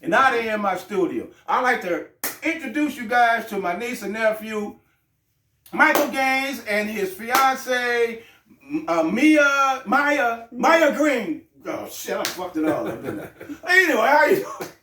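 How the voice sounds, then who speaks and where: A man's voice: very high voice, big pitch swings, a little slow, reverberant, distant mic, noisy background. A man hosting his own show in a loose, joking, profane way, with frequent restarts. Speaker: host. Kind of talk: casual show talk.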